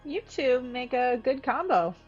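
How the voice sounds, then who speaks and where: A woman's voice: high voice, sing-song, a little slow, husky, heavily acted. A woman playing a weary, guarded young woman in a film table read, understated and wistful. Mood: dry. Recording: dull lo-fi sound, faint music.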